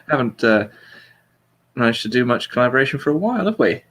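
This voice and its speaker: A man's voice: mid-range voice, sing-song, fairly quick, fairly bright tone. A man hosting a casual, humorous chat in a wry, jokey manner. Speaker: host.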